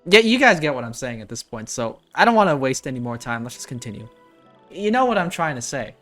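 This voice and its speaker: A woman's voice: a bass voice, very animated, a little fast, a bit bright, faint music behind. A woman reacting to a game in a casual, slangy, exclamatory way. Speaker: reaction video host.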